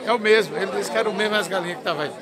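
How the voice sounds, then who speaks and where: A man talks casually. He is outdoors amid clear crowd noise at a busy fair. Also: high-pitched, racing. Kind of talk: casual chat.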